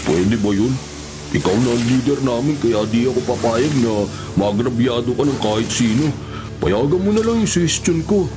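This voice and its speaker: A man's voice: medium pitch, animated, normal speed, lightly acted, poor audio. An actor playing a loyal, scheming male convict who talks in an insistent, conspiratorial way in a radio drama. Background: music.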